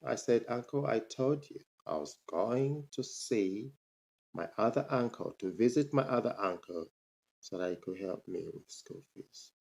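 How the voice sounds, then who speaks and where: A man's voice: animated, unhurried, creaky, darkish tone, low-quality recording. A man, a motivational speaker telling his life story to camera, emphatic and rising sharply on his questions. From Zambia. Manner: emphatic, pitch jumps up on rhetorical questions.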